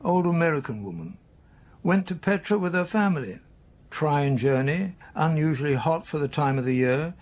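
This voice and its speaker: An actor playing a brisk, wry British colonel in a radio drama, with a British accent and clipped, telegraphic sentences. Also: male voice, on the high side, expressive, darkish tone, natural voice. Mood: brisk, suspicious.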